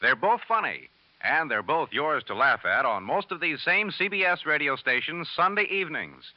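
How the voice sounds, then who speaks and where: A male announcer in old-time network radio style, polished and upbeat. His fairly high voice moves with animated intonation. The recording is muffled. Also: normal speed, no echo. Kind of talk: 1950s radio announcing and promo.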